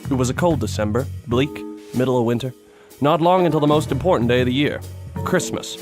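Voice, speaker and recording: A man playing a hard-boiled, world-weary police detective in a noir radio drama, terse and deadpan. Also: mid-range voice, fairly quick, over music, natural voice. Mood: grim, deadpan.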